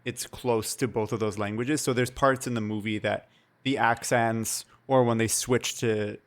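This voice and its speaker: A young man from Canada co-hosting a podcast, with a medium-pitched voice. He speaks reflectively and pauses often to find his words, in relaxed casual chat. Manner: thoughtful, reflective, hesitant. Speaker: podcast co-host.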